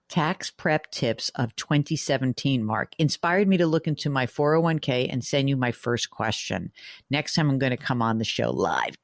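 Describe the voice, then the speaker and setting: A woman hosting a radio money show. She reads listener emails aloud and answers them frankly and chattily, working through the numbers out loud and throwing in joking asides. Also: a bass voice, dry recording, studio quality. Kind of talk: conversational radio call-in advice.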